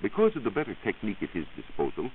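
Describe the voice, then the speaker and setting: A man's voice: fairly low pitch, wildly swooping pitch, very fast. A man with an American accent explaining as a science reporter in scripted 1940s radio style. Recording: tinny, lightly hissy 1940s radio recording.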